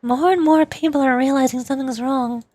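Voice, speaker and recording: A woman's voice: high voice, fairly even intonation, fairly quick, warm tone, lightly acted. A woman voicing earnest young heroes from a fantasy video game, reading their dialogue aloud in an amateur performance. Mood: worried.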